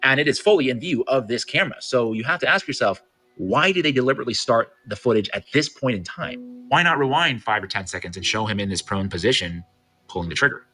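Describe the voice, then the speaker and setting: A man delivers scripted explainer narration in a bright, forceful voice of medium pitch. He moves quickly and poses pointed rhetorical questions. Music plays behind him. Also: lively intonation. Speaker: video commentator. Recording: music bed under the voice.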